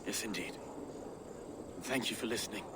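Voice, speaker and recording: An actor playing a devout, self-doubting reeve in a game, with an earnest, confiding manner. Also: male voice, fairly low pitch, moderate pace, bright, forceful tone, a little hiss or hum. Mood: grateful.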